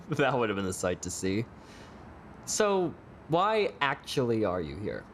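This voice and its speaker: A man's voice: medium pitch, huge pitch swings, slow, fairly bright tone, a little hiss or hum, exaggerated voice. An actor playing a sassy, hot-tempered young male bar performer in an audio drama, sarcastic, flippant and sweary. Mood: teasing banter.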